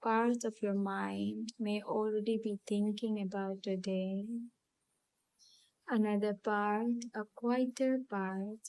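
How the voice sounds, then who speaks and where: A woman leading a guided visualisation in a calm, soothing, hypnotic storytelling manner, leaving long pauses between phrases. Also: mid-range voice, no room sound, poor audio. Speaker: hypnotherapy channel host and guide.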